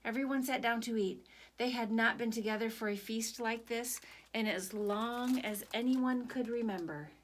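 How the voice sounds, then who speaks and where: A woman storyteller reading a picture book aloud for children in an expressive, animated way. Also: on the high side, normal speed.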